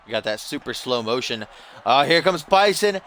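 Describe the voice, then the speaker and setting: A young man giving profane, excitable play-by-play of a video game wrestling match, grumbling one moment and yelling the next. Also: medium pitch, normal speed. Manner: swings from dry grumbling to yelling big moves; profane, teasing.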